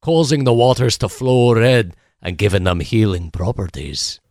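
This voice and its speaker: Lively, crafty Scottish monk. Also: male voice, fairly low pitch, normal speed, no room sound, clean studio sound. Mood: animated storytelling. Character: gruff, crafty Scottish chapel keeper monk, mocking and boastful. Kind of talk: 1940s-style radio play acting.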